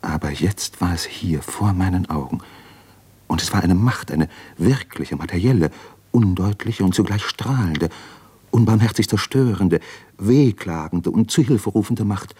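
A man's voice: deep voice, measured pace, dark tone, husky. An actor playing a brooding, sensitive man recalling his youth in an introspective, intense radio drama monologue. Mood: spellbound, agitated.

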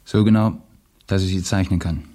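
An actor playing an idealistic young revolutionary, a candid and earnest former student, in a German radio drama. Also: male voice, low-pitched, steady tone, normal speed, background noise, natural voice. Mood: uneasy, candid.

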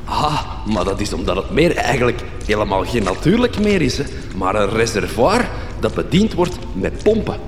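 A man's voice: lowish voice, big pitch swings, normal speed. A man with a Flemish accent playing a shifty, evasive mine worker in a radio comedy drama, speaking hesitantly with stammers. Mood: explanatory. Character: shifty, evasive old-time mine worker guiding strangers. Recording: echoey hall, faint noise.

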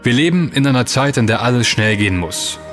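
A man's voice: medium pitch, lively intonation, brisk, fairly bright tone, soft background music. A man delivering scripted advisory narration, addressing the listeners directly.

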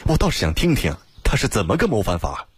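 Guy doing a snooty young rich gentleman, sarcastic, low raspy voice, audiobook drama. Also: huge pitch swings, fast talker, no room sound, exaggerated voice. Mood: cold sarcasm. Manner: haughty, sardonic. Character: proud, sardonic young gentleman of a rich house.

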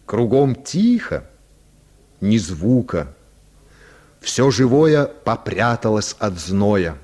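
A man narrating a literary short story for a radio drama in an expressive style. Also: lowish voice, drawn-out words.

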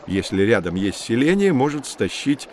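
A man's voice: medium pitch, very animated, a little mellow, a little hiss or hum, close to an everyday voice. A man playing a knowledgeable, patient nature guide who explains things in a children's educational audio drama. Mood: explaining. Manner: measured, didactic.

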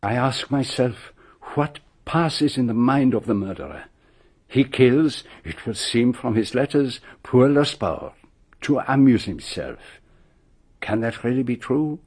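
Radio drama: Belgian male sleuth, French-speaking Belgian accent, husky, formal, precise, brooding. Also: medium pitch, sing-song, a little mellow. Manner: formal, precise, deliberate phrasing. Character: courteous, methodical, brooding Belgian male detective.

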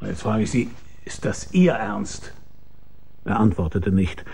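A man's voice: on the low side, animated, slow pace, creaky, darkish tone, breathy edge, exaggerated voice. An actor playing a hard-boiled, laconic private detective who narrates his own case in a German radio crime drama. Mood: probing, sardonic. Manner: laconic, dry, probing.

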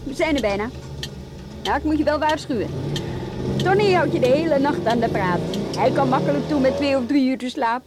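A woman's voice: high voice, fairly quick, heavy background noise, lightly acted. An actress playing a wry, weary, no-nonsense professional woman in a naturalistic radio drama, speaking in a conversational, hesitant way. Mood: wry, casual.

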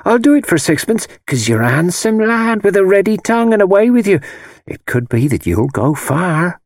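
A man's voice: fairly high pitch, very animated, moderate pace, dry recording, studio quality, voice pushed up. A man voicing a malicious, wheedling old woman who tells fortunes, with rustic dialect speech and ominous insistence, in an audiobook. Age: older. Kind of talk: dramatised audiobook character voice.

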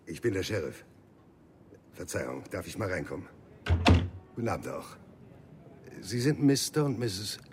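An actor in a German radio drama playing a polite but official American sheriff. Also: male voice, deep voice, sing-song, moderate pace, long pauses, loud music behind the voice. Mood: polite, officious.

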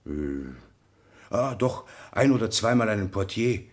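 Very deep-voiced, deliberate tobacconist. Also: male voice, animated. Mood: deliberate, recollecting. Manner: deliberate, formal, pondering. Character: deliberate French tobacconist giving witness testimony. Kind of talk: German radio play acting.

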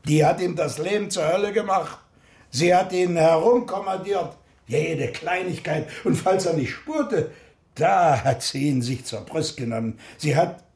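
An actor playing an older, opinionated ship-club member in a radio drama, talking colloquially in a gravelly voice. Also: male voice, on the high side, full character voice. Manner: colloquial, halting asides. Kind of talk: naturalistic radio drama acting.

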